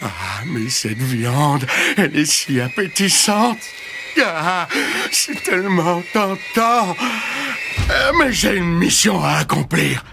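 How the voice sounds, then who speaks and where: An actor playing a twisted villain who craves flesh, muttering raptly and hesitantly in a French anime dub. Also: male voice, fairly high pitch, sing-song, takes it slowly, gravelly, noisy background, exaggerated voice. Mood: rapturous craving. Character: deranged, flesh-craving male villain, rapturous and twisted. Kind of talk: French-dubbed anime acting.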